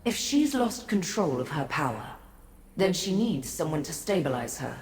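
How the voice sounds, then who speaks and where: An actress playing an ancient, aloof godlike being in a fantasy game, speaking formally and with haughty, cryptic condescension. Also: female voice, low-pitched, very animated, darkish tone. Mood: grave, composed. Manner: measured, formal, condescending to mortals. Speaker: video game character. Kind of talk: scripted fantasy game dialogue. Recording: echoey, long reverb.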